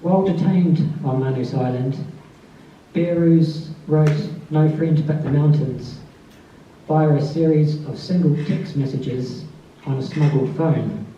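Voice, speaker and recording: Quiet soft-voiced guy reading at a book event, mellow tone, far off in a really echoey room. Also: fairly high pitch, unhurried, a little hiss or hum. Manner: soft-spoken, calls his voice mousy. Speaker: author giving a tribute reading. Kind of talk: introduction and reading aloud. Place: festival event space. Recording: distant, dull, very echoey room.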